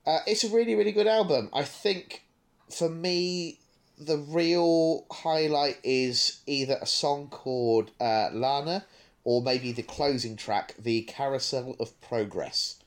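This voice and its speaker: A man's voice: on the high side, takes it slowly. A man co-hosting a podcast, chatting casually and discursively, thinking aloud with hesitant asides. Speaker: podcast co-host.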